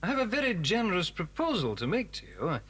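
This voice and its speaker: An actor playing a calculating smuggler villain in a children's audio drama, smoothly courteous with an edge of menace. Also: male voice, high voice, huge pitch swings, fairly bright tone, full character voice. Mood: persuasive, smug. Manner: courteous, unhurried persuasion with menace.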